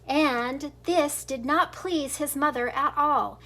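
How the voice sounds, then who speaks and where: High-pitched, unhurried older woman reading a storybook. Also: sharp tone. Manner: giggly, informal. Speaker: grandmother reading children's books aloud. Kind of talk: storybook read-aloud with chatty asides.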